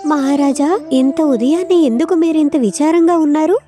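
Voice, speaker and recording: A woman voicing a grieving, reproachful childless queen in an animated moral story. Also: sits high, normal speed, soft background music, voice pushed up, close to an everyday voice. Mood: concerned.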